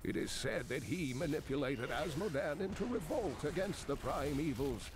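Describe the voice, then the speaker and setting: A solemn, expressive lore scholar. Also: male voice, a little high, background noise. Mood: grave. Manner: measured, solemn, dramatic. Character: learned male scholar narrating demon lore, grave. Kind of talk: scripted lore narration in video game.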